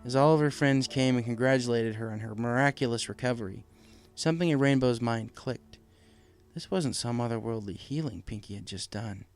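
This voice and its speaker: Casting: male audiobook narrator, mid-pitched voice, scripted fan fiction reading with music underneath. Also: brisk.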